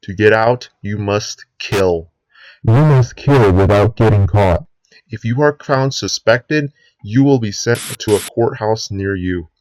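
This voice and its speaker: Low-voiced, grave authoritarian president, played by a man. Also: darkish tone, dry recording, close to an everyday voice, rough recording. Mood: grave, menacing. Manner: grave, deliberate speechmaking with drawn-out ominous phrases. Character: sinister authoritarian male US president addressing the nation. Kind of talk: scripted emergency broadcast address.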